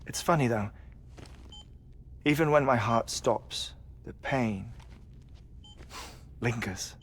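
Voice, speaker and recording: An actor playing a grieving, eccentric middle-aged scientist in a video game, speaking in a measured, scholarly way. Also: male voice, medium pitch. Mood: wistful. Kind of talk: dramatic video game cutscene acting. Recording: music behind the voice.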